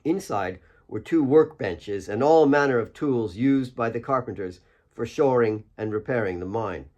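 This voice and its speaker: A man's voice: mid-range voice, lively intonation, normal speed, darkish tone, highs cut off. A man reading his own fiction as an audiobook, in scripted storytelling narration.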